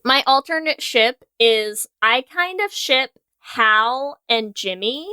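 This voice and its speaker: Bright, high-voiced woman podcast co-host. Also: lively intonation, takes it slowly, clean tone, dry recording, studio quality. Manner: playful, jokey banter. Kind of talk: casual comedic podcast chat. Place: remote recording over video call.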